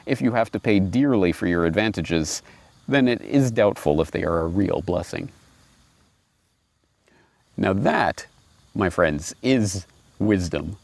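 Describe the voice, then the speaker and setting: A man in his forties talks reflectively in a fairly low voice with plenty of gravel. His intonation moves widely as he stresses his points deliberately. He is speaking outdoors into a lapel mic. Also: darkish tone. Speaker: host and commentator. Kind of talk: reflective commentary with read-aloud fables. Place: outdoors on grass by trees and water.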